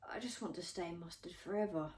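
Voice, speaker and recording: Woman playing a teenage girl, sulky, stubborn, earnest, storybook character, slightly low mellow voice. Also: brisk, natural voice. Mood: sulky, reluctant. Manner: protesting, mumbling.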